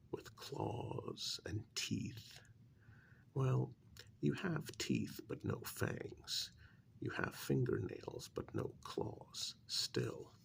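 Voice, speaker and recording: A man playing a calm, shady doctor in an ASMR role-play, speaking softly and deliberately, often near a whisper, with light reproach. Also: medium pitch, slight background noise, heavily acted. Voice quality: soft, breathy, often dropping to a whisper. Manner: slow, deliberate, lightly admonishing, with drawn-out pauses. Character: calm, shady male doctor who transplants wolf eyes.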